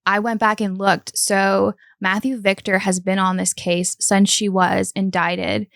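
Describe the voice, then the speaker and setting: Gravelly Appalachian woman, true-crime chat. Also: mid-range voice, unhurried, dry recording, studio quality. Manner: relaxed, with many um fillers. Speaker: podcast co-host. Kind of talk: casual true-crime discussion.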